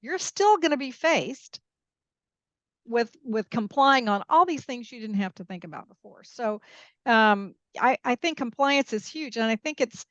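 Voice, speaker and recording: A woman with a fairly high voice speaks as an interview guest, explaining things with emphasis and checking in as she goes. The sound is dry and a little dull, as in a lo-fi podcast recording. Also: animated, a little slow, a bit bright, dry recording. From Peru and Mexico. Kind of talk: conversational interview commentary.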